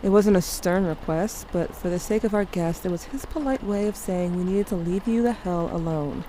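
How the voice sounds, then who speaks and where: A woman plays a weary, sardonic teenage girl narrating an audio drama. Her voice sits on the low side and she confides as if to someone close. Clear ambient noise runs behind her. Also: moderate pace, mellow, close to an everyday voice, rough recording. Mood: confiding, wry.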